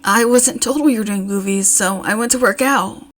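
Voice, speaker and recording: A woman's voice: medium pitch, normal speed, a bit bright, close to an everyday voice. A woman voicing an earnest, reserved teenage boy in a narrated story. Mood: apologetic, matter-of-fact.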